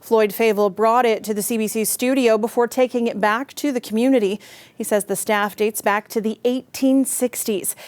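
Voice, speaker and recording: A woman reading the news as anchor in a steady, formal way. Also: on the high side, dry recording.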